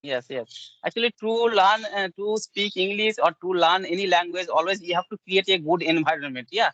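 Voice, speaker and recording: A native Bengali-speaking man with a Bengali accent, chatting in halting, simple learner English peppered with yeah and yes. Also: sits high, sharp tone, no room sound, lo-fi sound. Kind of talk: casual English practice conversation.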